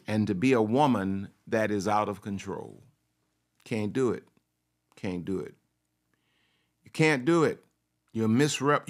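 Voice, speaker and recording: A man preaching a sermon. He repeats his phrases for emphasis, asks rhetorical questions and at times speaks as if he were a confident woman. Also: mid-range voice. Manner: speaks in the first person of a confident woman to make his point. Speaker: pastor.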